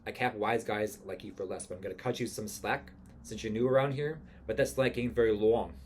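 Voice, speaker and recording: A man's voice: lowish voice, fairly even intonation, fairly quick, darkish tone, a little hiss or hum, close to an everyday voice. A man voicing a cocky, menacing 1920s gangster who talks in slangy tough-guy threats, read from a musical script. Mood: threatening.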